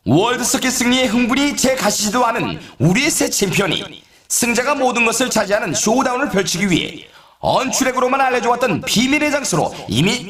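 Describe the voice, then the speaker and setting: Excitable male snowboard game announcer, really high pitched, echoey, formal broadcast style. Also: full character voice. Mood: dramatic, hyping. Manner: emphatic, formal broadcast delivery. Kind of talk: scripted video game sports commentary.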